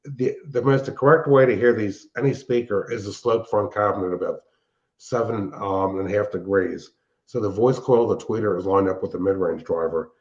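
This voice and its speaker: A man's voice: fairly low pitch, normal speed, darkish tone. An older man chatting casually and technically, explaining things in a rambling way as a show host and hobbyist. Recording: dull, band-limited sound.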